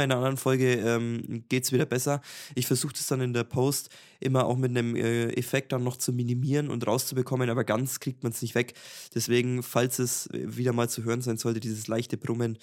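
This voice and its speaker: A young man with a Franconian accent, co-hosting a casual podcast chat over a video call and laughing easily. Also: mid-range voice. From Franconia, Germany. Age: young adult. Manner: relaxed, chatty, laughs easily. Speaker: podcast co-host. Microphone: home podcast mic over video call.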